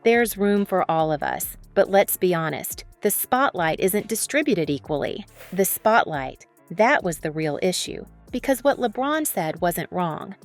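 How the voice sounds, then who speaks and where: A woman's voice: fairly low pitch, sing-song, unhurried, hardly any pauses. Woman narrating dramatic sports story over music.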